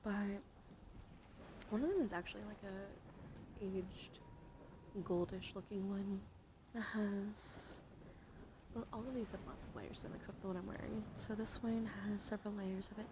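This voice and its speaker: A woman livestreaming ASMR, chatting softly and soothingly to her viewers with affection, drifting between whispers and gentle speech. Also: medium pitch, fairly even intonation, normal speed, pauses often, noisy background. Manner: affectionate toward listeners. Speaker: live streamer hosting ASMR. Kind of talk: ASMR, soft intimate chat with live viewers.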